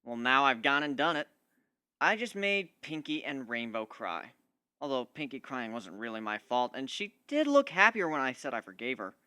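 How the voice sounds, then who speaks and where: An amateur narrator giving an expressive, conversational first-person dramatic reading of a fan story. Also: mid-range voice, normal speed, clean tone, dry recording.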